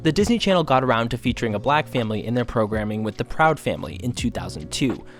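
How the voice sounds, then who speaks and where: A man reading scripted documentary narration in a measured, formal, informative manner. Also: mid-range voice, expressive. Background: faint music.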